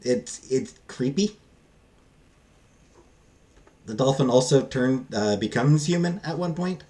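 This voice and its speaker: A man giving a casual, unscripted book review in a halting, rambling, self-deprecating way. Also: medium pitch, lively intonation, dark tone.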